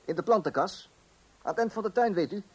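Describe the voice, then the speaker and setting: Fussy, husky-voiced Dutch husband. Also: male voice, high voice, lively intonation, brisk, a little mellow. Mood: hesitant, polite. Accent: Netherlands Dutch. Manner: self-assured, hesitant little ehs and repeated words. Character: fussy, indignant middle-class husband guarding his property. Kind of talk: Dutch radio play acting.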